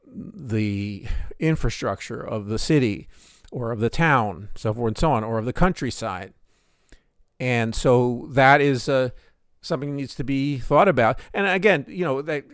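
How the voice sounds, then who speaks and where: A man's voice: mid-range voice, clean tone, dry recording, clean studio sound. A middle-aged man hosting a solo commentary podcast, speaking hesitantly with frequent fillers and restarts. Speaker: podcast host.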